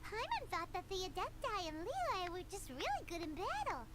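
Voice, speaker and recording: A woman voicing a tiny, excitable fairy-like companion who asks eager, wide-eyed questions. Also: very high voice, normal speed, heavy background noise, exaggerated voice. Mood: curious.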